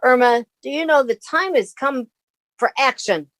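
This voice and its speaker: Actress as sensible, weary roommate, close and dry. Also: female voice, sits high, measured pace, a bit bright, dry recording. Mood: decisive. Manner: motherly, exasperated, wry. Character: sensible, weary, caring young woman roommate. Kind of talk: 1950s radio comedy acting.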